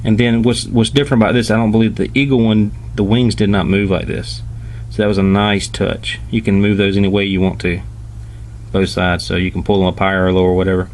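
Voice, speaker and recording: A man giving a casual, informal hands-on toy review, explaining step by step with hesitant pauses. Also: fairly low pitch, flat delivery, darkish tone. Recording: clearly noisy home recording.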